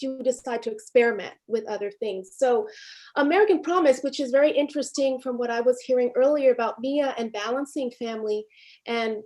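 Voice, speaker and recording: A woman from Haiti in her fifties, a documentary filmmaker speaking on a panel over a video call, thoughtful and halting, with many fillers and restarts. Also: fairly high pitch, darkish tone. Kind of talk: reflective panel discussion.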